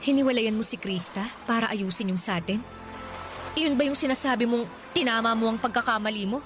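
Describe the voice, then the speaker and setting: A woman plays a wounded, confrontational young working woman in a radio drama. Her voice is high and quick, with challenging retorts. Music runs clearly behind her. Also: close to an everyday voice. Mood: hurt, angry.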